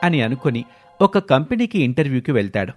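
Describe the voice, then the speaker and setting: Male narrator, animated intonation, scripted moral storytelling, light music behind. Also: mid-range voice, a little fast.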